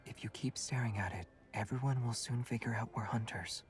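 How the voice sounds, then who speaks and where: A man playing a gentle, teasing young hunter in a video game, speaking softly and with understatement. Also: medium pitch, fairly quick, lightly acted. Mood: quietly tender. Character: Xavier, soft-spoken young male hunter, gentle and teasing. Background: background music.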